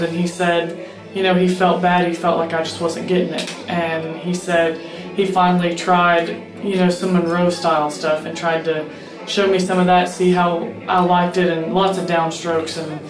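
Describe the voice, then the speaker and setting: A woman's voice: fairly low pitch, level tone, normal speed, hardly any pauses. A young woman who plays mandolin and sings, answering interview questions in an earnest, rambling way with many fillers. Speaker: bluegrass mandolin player and singer. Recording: roomy echo with music behind.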